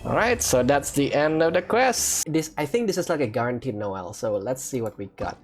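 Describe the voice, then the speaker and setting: A man's voice: mid-range voice, lively intonation, moderate pace, soft background music. A man hosting a gaming video, giving casual, off-the-cuff commentary in short reacting phrases.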